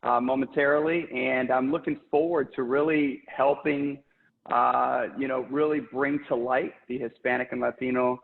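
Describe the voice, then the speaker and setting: Man from Puerto Rico, upbeat interview guest, flat gravelly voice, phone-like call audio with long reverb. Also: mid-range voice, moderate pace. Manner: enthusiastic, upbeat, many fillers. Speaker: regional sales director, interview guest. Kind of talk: casual work interview. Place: home office, indoors. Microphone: video call. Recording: tinny echoey video-call audio.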